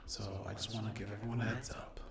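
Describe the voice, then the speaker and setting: A man chatting casually to his ASMR viewers in a soft voice that often drops to a whisper, hesitant and apologetic. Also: lowish voice, level tone, fast talker, creaky, heavy background noise. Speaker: ASMR roleplay video creator and host. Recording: roomy echo.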